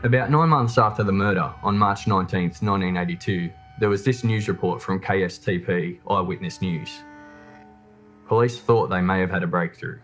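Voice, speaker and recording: Male true crime narrator, low register, scripted documentary read, faint music underneath. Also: moderate pace, a bit bright.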